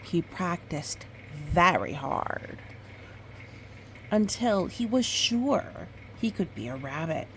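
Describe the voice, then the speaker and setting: A woman reading a picture book aloud in storytime style, with expressive, drawn-out dramatic phrasing and chatty asides. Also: fairly low pitch, slight background noise, rough recording.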